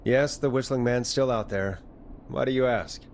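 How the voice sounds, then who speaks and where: An actor playing a radio DJ in a horror game, measured, dry and probing as he questions a caller. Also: medium pitch, slight background noise, lightly acted. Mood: concerned, probing. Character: middle-aged radio DJ calmly probing a dark mystery. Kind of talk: dramatic video game voice acting.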